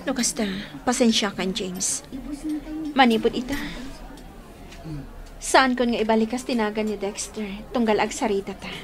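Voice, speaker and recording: A woman's voice: on the high side, steady tone, moderate pace, pauses often, lightly acted. An actress playing a torn young woman in love, hesitant and emotional, with an Ilocano accent in a radio drama. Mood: tender, torn.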